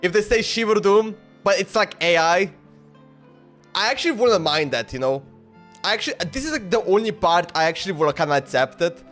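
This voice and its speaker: A man's voice: high-pitched, lively intonation, fairly quick, a bit bright. A man live streaming a game with casual, rambling, informal commentary that often repeats itself. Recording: faint game music behind.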